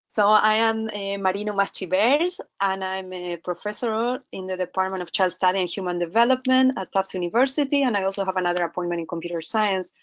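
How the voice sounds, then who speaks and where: A woman in her fifties with an Argentine accent lectures over slides, talking in a loose, conversational way and putting questions to her listeners. Her pitch sits in the middle for a woman. The webinar audio comes through slightly dull and muffled. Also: a little fast, few pauses. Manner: conversational, asks the audience questions, some hesitations. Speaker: professor giving a webinar lecture. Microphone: computer microphone in an online webinar.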